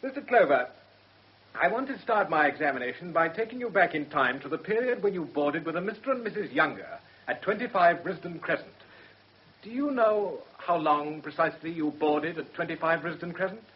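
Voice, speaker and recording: A man acting a shrewd English defence barrister with a Received Pronunciation accent. His voice sits a little high and moves expressively, yet stays formal and precise. The radio drama recording is dull and muffled. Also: lively intonation, lightly acted. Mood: formal, probing. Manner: formal, precise, measured questioning. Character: shrewd, controlled male defence barrister under pressure.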